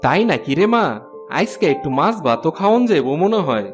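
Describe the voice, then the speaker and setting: A man's voice: high-pitched, sing-song, normal speed, faint music behind. A man with a Bangladeshi accent voicing an ailing, downhearted but loving village father in a cartoon. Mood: hopeful. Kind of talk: Bengali fairy tale cartoon dubbing.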